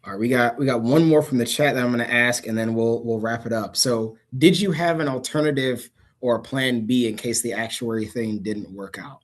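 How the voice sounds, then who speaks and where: A man moderating an online interview on a video call, relaxed and conversational. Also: medium pitch, a little fast.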